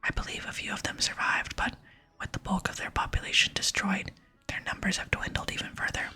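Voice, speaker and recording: A woman whispering in ASMR style, softly and slowly reading video game dialogue aloud. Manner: soft, slow, hushed reading. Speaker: ASMR gaming channel host.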